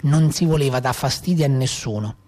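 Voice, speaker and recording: A man's voice: mid-range voice, a little fast, a little mellow, natural voice. A man playing a weary, proud old brigand with a Tuscan accent, telling his life in colloquial, reflective theatrical monologue. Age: 60s, as played. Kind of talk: theatrical first-person storytelling.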